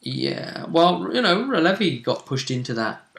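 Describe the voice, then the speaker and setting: A man's voice: on the high side, big pitch swings, unhurried, vocal fry, fairly bright tone. A man in an echoey room talks casually as a game master going over a tabletop role-playing game. His delivery is conversational, and the room rings noticeably around his voice.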